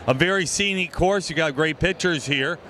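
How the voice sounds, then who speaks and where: A man's voice: on the high side, moderate pace, bright, forceful tone. A man interviewing people at an expo in a friendly, hesitant way, with many fillers, speaking into a handheld mic in a busy indoor hall. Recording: noisy expo hall background.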